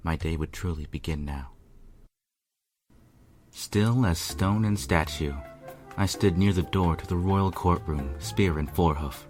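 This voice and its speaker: A man reading a first-person story aloud as narrator, with an earnest, measured, brooding delivery. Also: deep voice. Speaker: fan fiction reader, narrator. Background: instrumental music.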